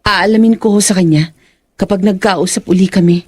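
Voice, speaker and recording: A woman's voice: medium pitch, steady tone, a little fast, a little mellow, husky, lightly acted. An actress, a woman, playing a caring, worried young daughter who speaks respectfully and inquiringly.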